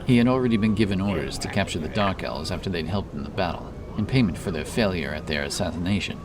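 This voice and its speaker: A man's voice: low-pitched, expressive, fast, background noise. A man narrating a dramatic fantasy story as an audiobook, over background ambience. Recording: clear background ambience under voice.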